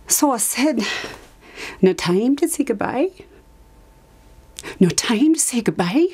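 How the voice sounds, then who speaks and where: A woman acting out a dramatized monologue as an anguished asylum survivor recalling her past, halting, with emphatic repetitions. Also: a little high, animated, mellow, slightly rough, exaggerated voice. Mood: grieving, pleading. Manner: halting, emphatic repetition, sudden drops to whisper. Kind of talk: dramatized monologue, confessional testimony.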